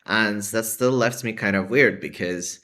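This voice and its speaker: A man's voice: on the low side, a little slow, pushed and bright. A young man talking casually to camera in a vlog, hesitant and full of "like" and "sort of" hedges. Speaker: vlogger.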